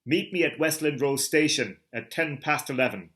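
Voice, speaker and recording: A man's voice: a little high, moderate pace, a bit bright, pitched above the performer's own voice, lightly acted. A man voicing a guilt-wracked murderer in a melodramatic literary reading, with wild theatrical outbursts. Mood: tormented.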